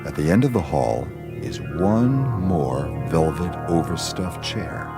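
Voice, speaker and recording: An actor as the measured, atmospheric storyteller of a scripted radio drama. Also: male voice, very deep voice, lively intonation, loud music behind the voice, heavily acted. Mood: slow, atmospheric. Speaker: narrator.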